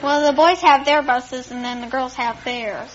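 A woman's voice: high-pitched, fairly even intonation, moderate pace, a crowd in the background. A young teenage American girl chatting and answering questions as a contestant on a quiz show. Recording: dull, noisy old radio recording, audience. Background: studio audience.

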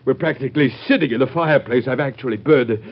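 A man's voice: medium pitch, fast talker, close to an everyday voice. An American man playing a querulous, dryly pessimistic Secretary of State in an old-time radio drama. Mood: complaining. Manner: querulous, dry, gloomy. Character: grumbling, pessimistic Secretary of State bundled against cold. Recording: muffled old radio show recording.

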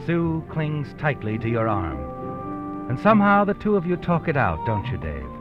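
A man's voice: mid-range voice, wildly swooping pitch, measured pace, music in the background. An actor narrating a suspense radio play as an ominous, knowing man who speaks straight to the hero, in a muffled old recording. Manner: dramatic, knowing, addresses the character as "you". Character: ominous, knowing male narrator of a crime story. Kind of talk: 1940s radio suspense narration, second person.

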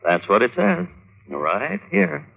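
An actor playing a droll connoisseur of historical crimes, narrating wryly and deliberately in old-time radio style. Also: male voice, a little high, huge pitch swings, gravelly, slightly rough, full character voice. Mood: wry storytelling. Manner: wry, deliberate phrasing. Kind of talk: 1950s radio storytelling. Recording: old radio broadcast, tinny narrow band.

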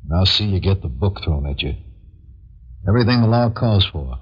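A man's voice: low-pitched, lively intonation, brisk, husky. A man playing a grim, accusing investigator in an old-time radio drama, speaking with righteous sternness. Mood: stern, accusing. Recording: dull lo-fi old radio recording.

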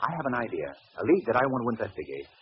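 An actor playing an earnest, decisive gentleman detective, well-spoken and urgent, in an old radio mystery. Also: mid-range voice, very animated, rapid-fire, lightly acted. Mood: grave, decisive. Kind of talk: 1940s radio acting. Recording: muffled old radio recording, faint noise.

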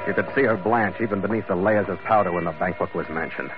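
An actor playing a tough, world-weary investigator in an old-time radio noir, with clipped, dry, wisecracking delivery. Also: male voice, low voice, quick pace, lightly acted. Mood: hardboiled narrating. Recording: muffled vintage radio recording, music behind.

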